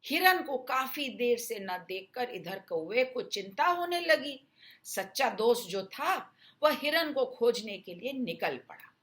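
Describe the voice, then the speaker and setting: Slow, high-voiced woman telling children's stories. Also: big pitch swings, fairly bright tone. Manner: addresses children, explanatory. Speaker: children's storyteller. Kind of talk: scripted storybook narration.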